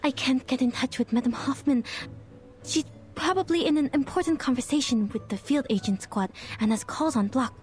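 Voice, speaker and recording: A woman's voice: sits high, normal speed, darkish tone, soft background music, close to an everyday voice. A woman voicing a shy, anxious young agent who nervously reassures herself in a game. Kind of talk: game voice acting.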